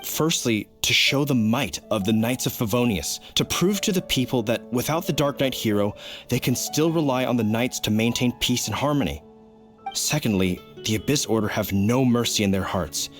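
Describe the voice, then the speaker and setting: A man voices a dutiful city knight in a video game. He talks in a stiff, official way at a middling pitch, explaining things earnestly over music. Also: animated, moderate pace, fairly bright tone, close to an everyday voice. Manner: formal, explanatory. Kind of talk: video game character acting.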